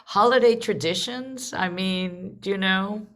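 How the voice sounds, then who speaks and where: A woman's voice: mid-range voice, unhurried, a bit bright. A woman hosting a live online panel, talking conversationally and often interrupting herself as she goes. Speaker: host and artistic director leading a panel. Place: video call.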